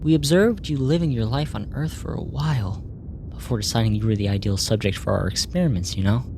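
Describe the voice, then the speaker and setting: Really deep female voice, woman playing a formal curious alien scientist in asmr roleplay, measured delivery, noisy rumbly recording. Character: polite, clinical alien researcher fascinated by humans. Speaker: audio roleplay voice actor. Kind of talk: ASMR sci-fi roleplay, in character.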